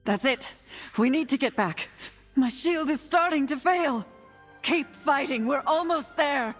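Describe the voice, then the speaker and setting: Game heroine: earnest undead noblewoman leader, breathy, unhurried, reverberant with music. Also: high-pitched, expressive. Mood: strained, urgent. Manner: measured, formal, earnest. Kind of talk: video game cutscene acting. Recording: long reverb, music behind.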